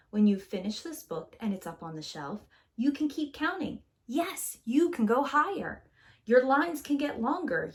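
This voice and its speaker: Woman, preschool teacher, higher-pitched voice, very lively intonation, sing-song storybook read-aloud, theatrical delivery for young kids. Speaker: preschool teacher reading a picture book aloud.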